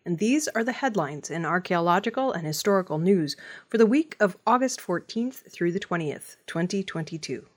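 A woman working as a news reader, delivering scripted news narration. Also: mid-range voice.

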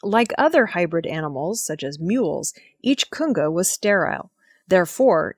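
A woman presenting scripted news stories in a measured, formal reading manner. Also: lowish voice, no echo, studio quality.

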